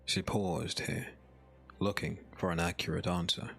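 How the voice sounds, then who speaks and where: Deep, gravelly male fantasy narrator. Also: flat delivery, bright, forceful tone, soft background music. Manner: measured, literary storytelling. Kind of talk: scripted dark fantasy audiobook narration.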